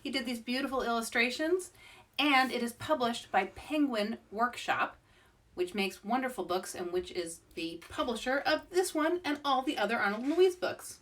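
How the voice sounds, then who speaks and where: A woman's voice: on the high side, moderate pace, fairly bright tone, distant mic. A woman hosting story time for young children, reading aloud expressively and speaking directly to her listeners.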